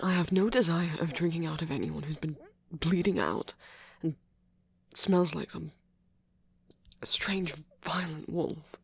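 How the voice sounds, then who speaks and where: Man voicing a halting, breathy centuries-old British vampire. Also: fairly high pitch, dry recording, heavily acted. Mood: exasperated, guarded. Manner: halting, stammering, earnest. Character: centuries-old male vampire, protective, proud, anxious lover. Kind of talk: ASMR romance roleplay acting.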